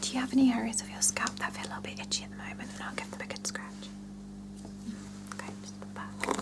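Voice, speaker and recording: Whispering woman, soft and creaky. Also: sits high, level tone, quick pace. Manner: gentle, soothing, reassuring client-care chat. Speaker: ASMR performer playing a salon hair and scalp therapist. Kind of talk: whispered ASMR salon roleplay.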